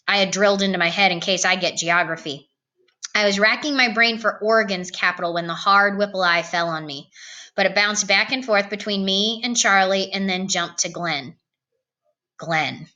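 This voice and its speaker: Female storybook reader, mid-pitched and bright, expressive narrative delivery. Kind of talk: expressive read-aloud narration of a novel.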